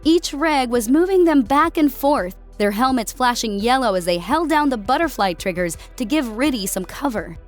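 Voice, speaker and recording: A woman's voice: high voice, expressive, normal speed, hardly any pauses, faint music behind. A woman reading scripted narration of a military science fiction story as an audiobook narrator.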